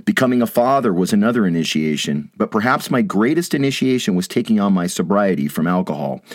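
A middle-aged man hosting a podcast, hoarse and raspy from a head cold, speaking in an earnest, inspirational way. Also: fairly low pitch, no echo, clean studio sound. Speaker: podcast host. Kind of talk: podcast monologue and interview.

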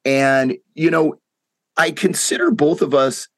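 A middle-aged man hosting a podcast, hoarse and raspy from a head cold, speaking in an earnest, inspirational way. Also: on the high side, measured pace, no echo. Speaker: podcast host. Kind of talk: podcast monologue and interview.

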